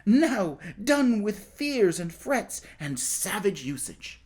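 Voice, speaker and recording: A storyteller voicing an excitable poor young boy in a storybook reading, with a raised, eager voice. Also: slow, breathy edge, full character voice. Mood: hopeful. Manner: bright, eager, high-pitched.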